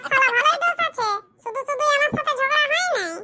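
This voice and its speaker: A performer voicing a nagging, quarrelsome cartoon villager with a squeaky, pitch-shifted voice and a rural West Bengal accent. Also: fast talker, noisy background. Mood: exasperated scolding. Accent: rural western West Bengal. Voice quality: squeaky, artificially high-pitched. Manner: rustic, quarrelsome, blunt. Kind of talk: comic cartoon dialogue.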